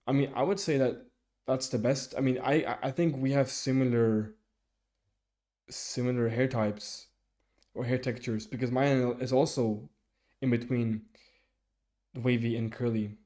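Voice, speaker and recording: A young man streaming live and answering viewer comments in a halting, casual way, with many restarts and fillers. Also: mid-range voice, fairly even intonation, dark tone. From Sweden.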